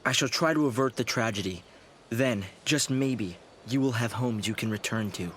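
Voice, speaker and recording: An actor playing an earnest, idealistic young researcher in a video game, speaking in a measured, formal, thoughtful way. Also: male voice, mid-range voice, a bit bright, slight background noise, natural voice. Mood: solemn, resolute. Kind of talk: video game cutscene acting.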